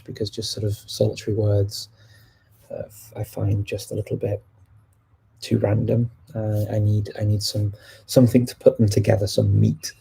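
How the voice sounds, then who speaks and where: A man's voice: fairly low pitch, gravelly, husky, poor audio. A man hosting a language-learning livestream, chatting casually as he answers viewers' questions. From United Kingdom. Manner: relaxed and chatty, answering questions as they come in.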